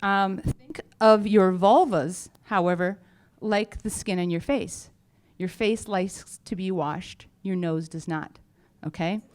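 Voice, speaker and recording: A woman's voice: fairly low pitch, steady tone, takes it slowly, hardly any pauses, darkish tone. A woman company founder giving an informal update on stage, speaking in a frank, humorous, chatty way. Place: on stage at a live event.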